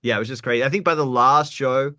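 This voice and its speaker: A young male musician tells stories in a loose, rambling way, leaning on filler words. His voice sits at a middle pitch. The sound is dry, close to the mic. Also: fast talker, clear, resonant tone, dry recording. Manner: relaxed, rambling, lots of likes. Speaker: guest musician. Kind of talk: casual interview.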